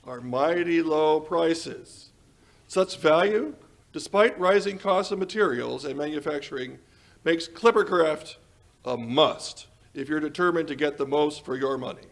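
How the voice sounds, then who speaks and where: An actor playing an eager 1940s radio announcer and sponsor pitchman, polished and emphatic in his delivery. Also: unhurried, gravelly. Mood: selling. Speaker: announcer and host.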